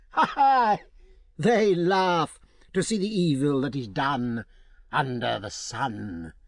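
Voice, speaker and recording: A man's voice: a bit bright, dry recording, clean studio sound, full character voice. A man voicing an ancient, wicked old witch who shrieks and cackles in a storybook reading. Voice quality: shrill and cackling.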